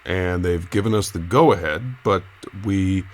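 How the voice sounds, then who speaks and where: An actor playing a cautious, conciliatory local radio reporter in an audio drama, speaking in a halting, placating way. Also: male voice, low-pitched, fairly even intonation. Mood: careful, placating. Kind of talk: naturalistic audio drama acting.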